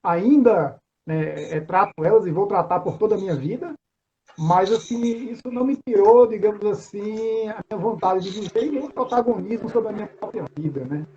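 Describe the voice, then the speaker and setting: A man's voice: high voice, normal speed, warm tone. A man in his forties with a Northeastern Brazilian accent, chatting informally as an interview guest, often ending phrases with tag questions. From João Pessoa, Paraíba, Brazil. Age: 40s. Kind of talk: casual chat, self-introduction. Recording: dull lo-fi online call sound.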